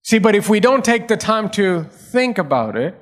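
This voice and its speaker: A man's voice: sits high, very animated, normal speed. A male pastor preaching a sermon, pressing his points through repetition and rising emphasis.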